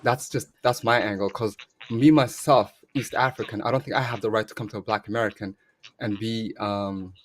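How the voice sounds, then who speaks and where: A man's voice: lowish voice, steady tone, moderate pace. Earnest male caller from Somalia. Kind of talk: casual call-in chat.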